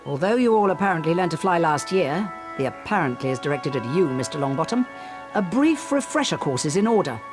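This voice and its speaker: An actor playing a strict but fair flying instructor who gives formal, commanding instructions. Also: male voice, fairly high pitch, very animated, fairly quick. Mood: brisk, stern. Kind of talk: video game voice acting. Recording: loud game music behind. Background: loud game music.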